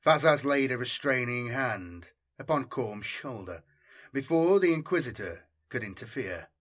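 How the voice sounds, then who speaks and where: A man reads a scripted story as an audiobook narrator. His delivery is measured and deliberate, with a dramatic weight on the phrases. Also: medium pitch, lively intonation, a bit bright. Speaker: audiobook narrator and channel host.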